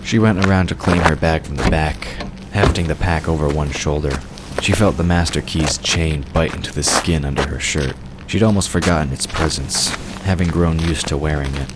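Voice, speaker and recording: A man's voice: very deep voice, normal speed, heavy background noise. A man narrating a scripted fiction story as the narrator of an audio drama.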